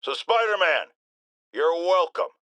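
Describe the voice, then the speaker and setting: A high-pitched, blustering radio ranter. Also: male voice, expressive, takes it slowly, sharp tone, clean tone, no echo, exaggerated voice. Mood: smug. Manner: pompous, sarcastic, emphatic, theatrical. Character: blustering middle-aged male radio host, pompous and outraged. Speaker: talk radio host. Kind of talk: ranting radio monologue.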